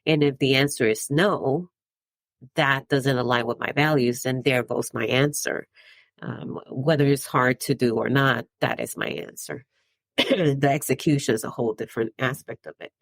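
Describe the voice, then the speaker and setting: Woman podcast host from the Dominican Republic, gravelly low-pitched voice, conversational and reflective, dry close-mic sound. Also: steady tone, moderate pace, no room sound. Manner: conversational, tags points with "right?", chuckles between thoughts. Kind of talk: reflective solo podcast monologue.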